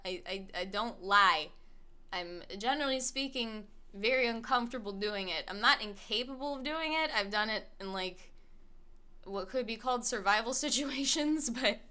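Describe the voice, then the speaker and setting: A woman streamer thinks out loud to her audience, her voice bright and light with little bass behind it. She swears easily, circles back on her thoughts and laughs through her sentences. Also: smooth. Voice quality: small light voice without much bass. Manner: candid, profane, rambling, laughs often. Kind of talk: casual chat with stream viewers.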